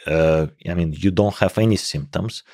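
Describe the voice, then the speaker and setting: Man in his forties, thick Russian accent, native Russian speaker, deep voice, halting phrasing, dry close-mic sound. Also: darkish tone, dry recording. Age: 40s. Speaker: interview guest, professor of computational biology. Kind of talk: casual podcast conversation. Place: small indoor podcast room. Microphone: close microphone on boom arm.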